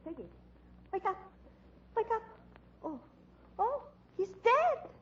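A woman's voice: extremely high pitch, big pitch swings, slow, a bit bright, slight background noise, exaggerated voice. A woman acting a plucky, scatterbrained young newspaper reporter in a radio comedy-mystery, chatty, naive and flustered. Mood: panicked. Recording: dull lo-fi recording.

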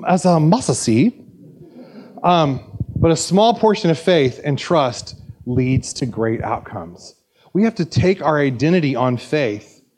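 A man's voice: fairly high pitch, a little mellow. A man preaching a sermon at a church service, chatty and joking, with frequent fillers and restarts. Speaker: pastor. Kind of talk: sermon with anecdotes.

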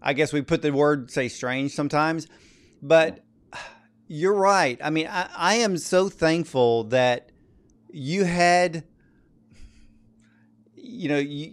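A man's voice: a little high, smooth. A man hosting a conversational interview, speaking emphatically and addressing his listeners directly. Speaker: interview host.

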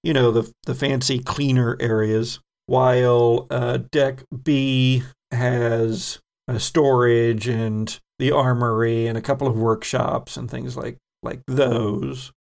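A man narrating as game master of a tabletop role-playing game, with relaxed, rambling descriptions. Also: mid-range voice, takes it slowly, creaky, few pauses.

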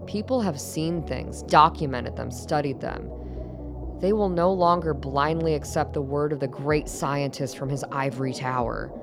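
An actress playing a composed, secretive middle-aged doctor in a radio drama, speaking in a measured, formal and persuasive way. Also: female voice, sits low, vocal fry, music in the background. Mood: earnest, firm. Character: composed, secretive older woman doctor defying her former master.

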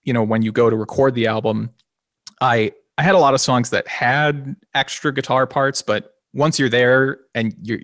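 A man's voice: mid-range voice, normal speed, no room sound, studio quality. A man, a singer and guitarist in a band, chatting casually in an interview, a little nasal, rambling and restarting his sentences. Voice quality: slightly nasal. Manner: relaxed and rambling, with many restarts and small laughs.

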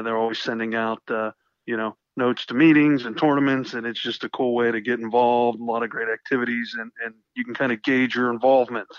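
Slightly hoarse man in dull, dry audio. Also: medium pitch, a bit bright, no echo. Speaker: podcast guest co-host. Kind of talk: casual podcast chat. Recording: dull, lo-fi sound.

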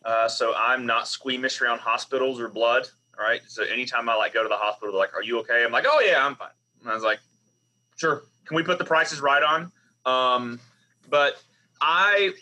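Bright-voiced Southern man on a call. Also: mid-range voice, poor audio. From Southern United States. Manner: chatty, self-deprecating storyteller with restarts. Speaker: biblical scholar, professor. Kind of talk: online panel discussion. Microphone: video call.

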